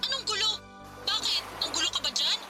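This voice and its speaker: A Filipino woman in a radio drama playing a callous, scheming young wife with a brisk, scolding, dismissive manner. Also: very high-pitched, expressive, over loud music. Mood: casual, questioning.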